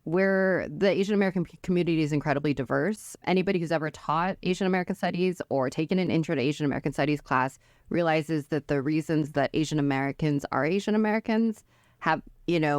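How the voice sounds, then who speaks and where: A woman's voice: deep voice, darkish tone, no room sound, studio quality. A woman from Metro Detroit, a professor, explaining her research in a thoughtful way, with many "I think" and "you know". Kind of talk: academic conversation.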